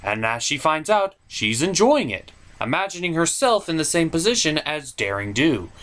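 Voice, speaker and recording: A man narrates a scripted comedy review as the show's host. His pitch swings widely from phrase to phrase, and his delivery is theatrical, with a put-upon, exasperated air. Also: very animated, moderate pace, a bit bright. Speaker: host of a puppet cartoon review show.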